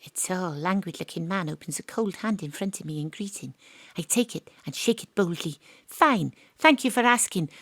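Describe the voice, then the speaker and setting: An actress playing a wry, brisk female amateur detective who narrates and questions with dry asides, recorded from a home studio. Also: on the low side, expressive, fairly bright tone, dry recording. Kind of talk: live remote audio drama acting.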